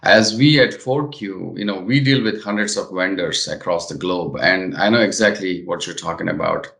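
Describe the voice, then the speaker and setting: A man hosting a business interview, speaking in halting, chatty phrases, in a roomy echoing recording. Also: low-pitched, vocal fry, fairly bright tone. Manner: halting, chatty phrasing with frequent "you know". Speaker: interview host.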